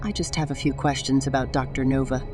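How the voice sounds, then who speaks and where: A woman's voice: extremely low pitch, fairly even intonation, quick pace. A woman playing a determined, persistent female reporter in a sci-fi audio drama. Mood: probing, polite. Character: determined, fearless young female reporter on Mars. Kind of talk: audio drama acting and narration. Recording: voice over loud music.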